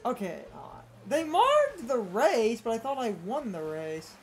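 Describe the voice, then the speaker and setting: A woman's voice: fairly high pitch, huge pitch swings, unhurried. A woman doing casual gaming commentary, explaining things in a rambling, argumentative way over game music. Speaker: let's play co-commentator. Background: video game music.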